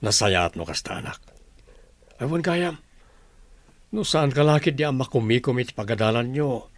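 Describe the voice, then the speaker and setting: A man's voice: medium pitch, expressive, moderate pace, no room sound, close to an everyday voice. A man playing a caring, hardworking father in a family audio drama, advising in a solicitous paternal way. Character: caring, hardworking father, gently advising his grown children.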